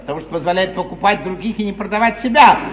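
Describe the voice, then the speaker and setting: An actor playing a suave, scheming English lord in a classical stage comedy, courtly, ironic and declamatory. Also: male voice, sits high, fast talker, natural voice. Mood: courtly irony. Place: theatre stage before an audience. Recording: dull, noisy 1953 theatre stage recording.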